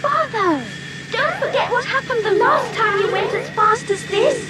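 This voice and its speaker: A man's voice: extremely high pitch, very animated, measured pace, over loud music, voice pushed up, exaggerated voice. A man voicing an anxious, earnest child in a storybook, with a plaintive manner.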